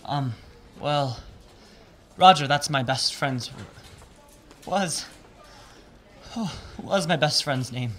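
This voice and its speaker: A shaken, raw male witness giving grave testimony in an audio drama. His voice sits a little high, with faint noise behind it. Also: a bit bright. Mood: dazed, tense. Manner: halting, emotional testimony turning to storytelling. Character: shaken, grieving male bank robbery witness, prickly, raw. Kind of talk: audio drama acting, first-person narration.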